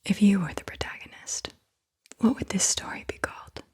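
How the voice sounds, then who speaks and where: A woman hosting ASMR, speaking softly and deliberately in a breathy whisper. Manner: soft-spoken, deliberate questioning. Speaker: ASMR host.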